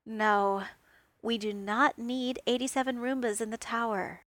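A kind, earnest male soldier, read by a woman, speaking softly with small hesitations. The pace is slow and even, in a dry close recording. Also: on the high side, dry recording. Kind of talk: audiobook reading of fan fiction.

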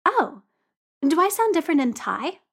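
A woman voicing a bright, easygoing young man who teases his friend cheerfully in a storybook reading. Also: very high-pitched, very animated, moderate pace, vocal fry, voice pushed up, exaggerated voice. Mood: playful. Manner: teasing, cheerful.

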